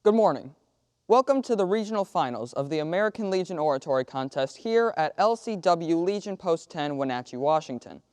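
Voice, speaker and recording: An actor playing an official contest announcer, speaking in a formal, deliberate, projected way for the stage. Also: medium pitch, darkish tone, clear, resonant tone, no room sound, clean studio sound, natural voice. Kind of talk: theatrical monologue as announcement.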